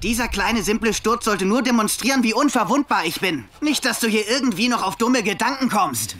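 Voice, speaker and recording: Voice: mid-range voice, expressive, a little fast, natural voice. An actor in an anime fan dub voicing a cocky, hot-headed teenage boy fighter who brags. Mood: boastful. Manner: bragging, hot-headed shouting. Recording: loud music under the voice.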